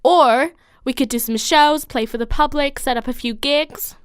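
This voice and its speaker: A woman's voice: high-pitched, moderate pace, dry recording, studio quality, lightly acted. A woman voicing an eager, ambitious chicken in an audio story, with an insistent manner. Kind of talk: children's story character acting.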